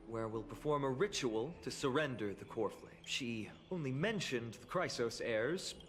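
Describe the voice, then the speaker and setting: Young male game hero, played by an actor: mid-pitched, sincere and humble, resolute, with faint music behind. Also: a bit bright, close to an everyday voice. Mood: thoughtful. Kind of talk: video game voice acting.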